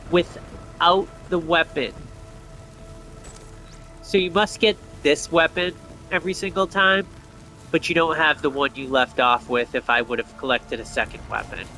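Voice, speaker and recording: Male gamer host, slightly high bright voice, casual think-aloud commentary over faint game music. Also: a little slow, long pauses. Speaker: gamer host of a gameplay video.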